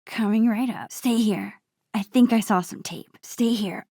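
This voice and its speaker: A woman voicing a sweet, shy, nerdy teenage girl in a video game, with a soft, often breathy voice and a gentle, teasing, earnest manner. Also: medium pitch, unhurried, no echo, clean studio sound. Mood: playful.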